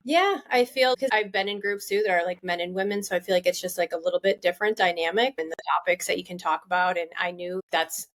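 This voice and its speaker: Chatty woman with a lowish voice. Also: fast talker, few pauses. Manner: upbeat, chatty, laughs easily. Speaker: podcast guest, founder of a support group. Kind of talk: casual podcast interview.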